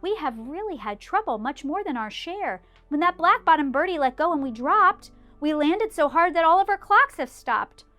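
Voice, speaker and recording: A woman's voice: sits high, animated, normal speed, hardly any pauses, sharp tone, faint music behind, pitched above the performer's own voice. A woman voicing a tiny, cheerful male mayor who pipes up eagerly and gratefully. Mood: distressed.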